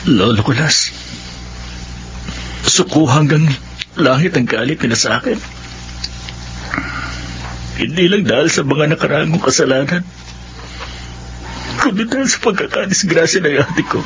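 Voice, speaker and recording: A man's voice: fairly high pitch, animated, a little slow, pauses often, a bit bright, slight background noise. An actor playing a remorseful, intense young man in a radio drama, respectful to elders yet anguished. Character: troubled, remorseful younger brother burning for revenge. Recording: dull lo-fi radio drama recording.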